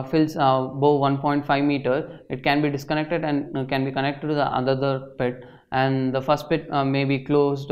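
A young man gives an explanatory lecture in a halting, hesitant way, with frequent filled pauses. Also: mid-range voice. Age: young adult. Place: indoor studio at a desk. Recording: slightly dull, lo-fi sound.

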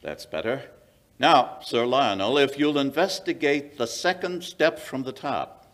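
Casting: actor as coolly deductive male detective for old radio drama, medium-pitched and authoritative. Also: lively intonation, a little slow, a bit bright, a little hiss or hum. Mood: cool, commanding. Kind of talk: 1940s radio acting.

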